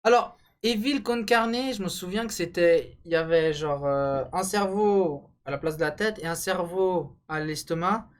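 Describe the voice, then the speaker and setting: A man's voice: high voice, normal speed, hardly any pauses. A young man casually hosting a video, bantering and ranking things in a slangy, opinionated, emphatic way. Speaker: video host. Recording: music behind the voice. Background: music.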